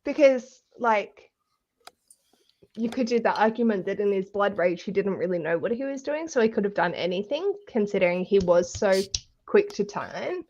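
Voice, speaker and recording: A woman's voice: medium pitch, expressive, normal speed, darkish tone, dull audio, a little hiss or hum. A woman co-hosting a casual, conversational book discussion.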